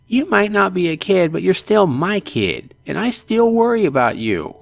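A man's voice: high voice, big pitch swings, moderate pace, vocal fry, pitched above the performer's own voice, full character voice. A man voicing a doting, fussing middle-aged mother in a visual novel, cajoling and theatrical. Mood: urging, affectionate.

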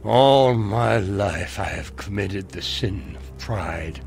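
Old western preacher gunfighter, gravelly n slow, grave, music under it. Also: male voice, lowish voice, darkish tone. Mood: remorseful, pleading. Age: older. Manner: grave, prayerful. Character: aging gunslinger turned preacher, devout and burdened. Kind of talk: video game voice acting.

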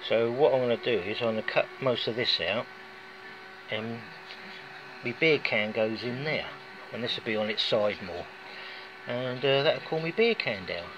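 A man's voice: medium pitch, normal speed, pauses often. A man with a British accent, casually chatting through a homemade gadget demonstration while filming on his phone in a garage. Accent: British English. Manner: chatty and rambling, with folksy asides. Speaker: hobbyist vlogger. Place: indoors, garage or workshop floor. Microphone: handheld phone filming. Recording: dull lo-fi phone audio with clear background noise.

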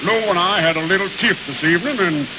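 An actor playing a pompous, genial carnival boss with florid, hesitant speech in a 1930s radio drama. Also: male voice, high-pitched, fast, close to an everyday voice. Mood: flustered, florid. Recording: hissy, muffled 1930s radio broadcast.

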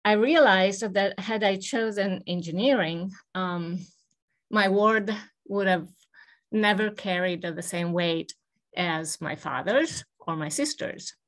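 Slow, measured older woman on a video call. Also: medium pitch. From Italy. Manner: measured, thoughtful, many hesitant ums. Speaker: guest speaker. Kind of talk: personal history talk on a video call. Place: home office with bookshelves, indoors. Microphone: video call webcam.

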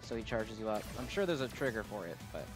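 A man's voice: on the low side, sing-song, fast. A man hosting a gaming video with casual, slangy and self-deprecating gameplay commentary. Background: music.

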